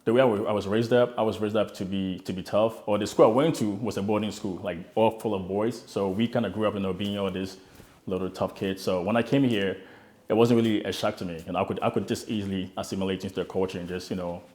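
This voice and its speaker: Earnest man, low voice. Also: steady tone, quick pace, a little mellow. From Ghana. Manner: earnest and motivational, repeats words as he restarts. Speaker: interviewee, immigrant start-up founder. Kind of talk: reflective personal story.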